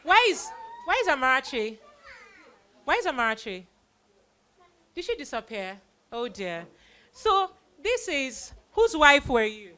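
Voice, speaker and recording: A middle-aged woman hosting children on stage, giving an informal, animated vote of thanks and speaking to an audience. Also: high voice, unhurried, long pauses. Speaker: host presenting child performers of a church play.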